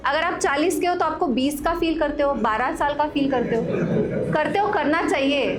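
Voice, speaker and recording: A woman in her forties, a wellness coach leading a live workshop, lively, questioning the audience and giving step-by-step instructions while mixing in English phrases. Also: high-pitched, moderate pace, over loud music. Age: 40s. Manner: mixes Hindi with English phrases. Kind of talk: live workshop talk to a group.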